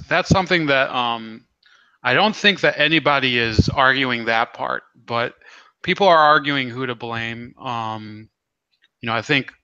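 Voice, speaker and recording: Bright-voiced man hosting a casual interview. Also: medium pitch, clean tone, no room sound, lo-fi sound. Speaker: interview host.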